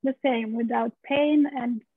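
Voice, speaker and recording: Lady in her 30s, high voice, chatty storyteller, thin phone-ish call audio. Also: fairly even intonation, measured pace, slightly rough, no room sound. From Romania. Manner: open storyteller, laughs often, gestures while talking. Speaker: guest, pelvic steam practitioner. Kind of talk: interview over video call. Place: living room at home. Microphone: video call, laptop mic. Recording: dry, tinny video-call audio.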